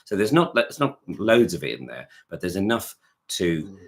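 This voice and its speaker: A man who directs a choir, chatting casually about singing, with a low voice and many restarts. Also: big pitch swings, moderate pace. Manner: rambling, many restarts, sings short notes to demonstrate. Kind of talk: casual interview chat.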